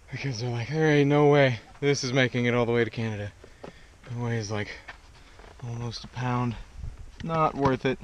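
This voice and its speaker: A young man vlogging on a hiking trail, easygoing and joking at himself, into a camera held at arm's length. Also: mid-range voice. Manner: easygoing, self-deprecating, laughs at himself. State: winded on climbs. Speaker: thru-hiker vlogging. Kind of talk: casual vlog to camera. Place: outdoors on a mountain trail. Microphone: action camera held at arm's length. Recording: outdoor camera mic with background noise.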